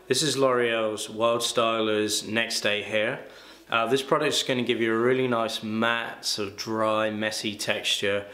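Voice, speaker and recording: A man's voice: fairly low pitch, fairly even intonation, a little slow, bright, forceful tone. A young man, reviewing a hair product in a casual, chatty vlog with plenty of ums and uhs. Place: small room indoors. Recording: echoey room with faint ambience.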